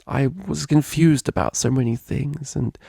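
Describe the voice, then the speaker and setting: Storybook role: proper female pony cellist, anxious and polite, raised pitch, performed by a male narrator. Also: measured pace, creaky, mellow, slightly rough, no room sound, clean studio sound, exaggerated voice. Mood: guilty, pleading. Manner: polite, careful, hesitant.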